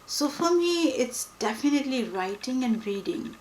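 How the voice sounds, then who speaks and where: A young woman whose first language is Hindi, talking reflectively and pausing often with fillers. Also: high-pitched, expressive, darkish tone, slight background noise. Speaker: book vlogger. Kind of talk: reflective personal talk to camera. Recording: echoey room with faint ambience.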